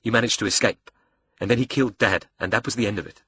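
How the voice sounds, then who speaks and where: A man's voice: lowish voice, fast talker, bright, forceful tone, husky, no room sound. A man voicing a cocky, sadistic young villain in an audiobook, brash and sarcastic, with a South African accent. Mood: bitter, angry. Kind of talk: audiobook character dialogue.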